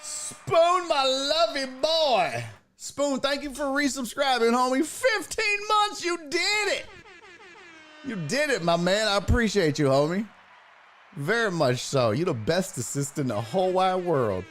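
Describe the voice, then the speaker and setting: A man's voice: very high-pitched, expressive, unhurried, a bit bright, soft background music. A man hosting a casual livestream chat, telling a joking story and reading out messages from chat. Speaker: streamer and sports talk host.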